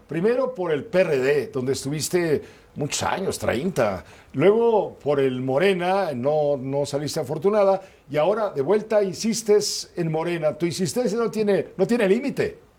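Mexican man, high voice, radio host, pointed teasing questions. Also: lively intonation, unhurried, a little mellow, husky. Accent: Mexican Spanish. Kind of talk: political interview.

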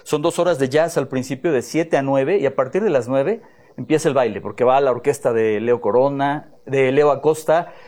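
A man's voice: medium pitch, normal speed, a little mellow. A man, an interview guest explaining things fluently and enthusiastically in a conversational way. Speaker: music journalist and musician, interview guest. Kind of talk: conversational explaining, promoting an event.

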